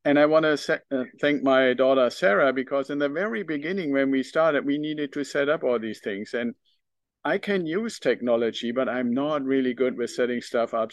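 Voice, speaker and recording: A middle-aged man with a German accent talks alone into the mic as a podcast host. His voice sits at an ordinary pitch, earnest and reflective, with small hesitations as he thinks aloud. The recording is close and dry, with no room sound. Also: no room sound. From northern Germany. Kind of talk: solo podcast monologue.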